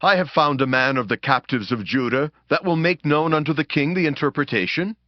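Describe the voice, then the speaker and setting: An actor playing an eager, hurried royal captain announcing formally in a dramatized scripture audiobook. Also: male voice, fairly high pitch, dry recording, close to an everyday voice. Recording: dull band-limited audio.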